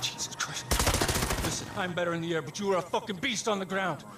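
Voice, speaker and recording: An actor playing a foul-mouthed, excitable rural pilot and husband with an American accent in a video game cutscene. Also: male voice, high-pitched, fast talker, very noisy, natural voice. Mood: riled, determined. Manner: folksy, exclamatory, swearing.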